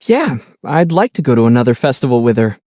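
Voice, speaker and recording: A man voicing a calm young traveler hero in a video game, measured and gently teasing. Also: medium pitch, dry recording, studio quality. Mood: gentle. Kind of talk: video game character dialogue.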